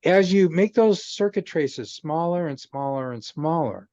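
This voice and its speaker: A man's voice: on the high side, animated, unhurried, warm tone, no echo. Guy on a video call explaining stuff patiently, lots of ums, kinda dull dry audio. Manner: patient, explanatory, many fillers and restarts. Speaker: guest explaining chip technology. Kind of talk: casual chat on a video call. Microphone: video call microphone.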